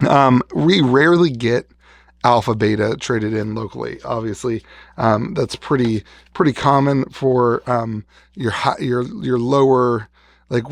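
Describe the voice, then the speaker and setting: Gravelly-voiced man losing his voice. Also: medium pitch, dry recording. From West Virginia. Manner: rambling, restarts, enthusing over old cards. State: losing his voice after being sick. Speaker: card shop owner showing a collection. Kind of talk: casual show-and-tell vlog.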